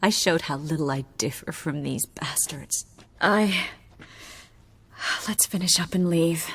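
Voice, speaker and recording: An actress playing a resolute young sorceress in a video game, wry and weary, with a measured, breathy delivery. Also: female voice, sits low, a bit bright, heavily acted. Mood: weary, subdued.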